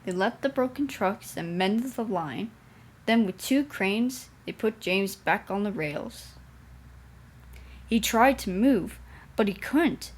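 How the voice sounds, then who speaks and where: A woman's voice: mid-range voice, breathy edge. A young woman reading a children's storybook aloud as its narrator. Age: young adult.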